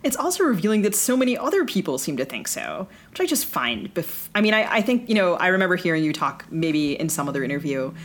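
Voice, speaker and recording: A woman's voice: fairly low pitch, big pitch swings, fast, hardly any pauses, a little hiss or hum. A woman co-hosting a literary podcast, speaking conversationally in long, winding sentences. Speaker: podcast co-host. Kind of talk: literary interview discussion.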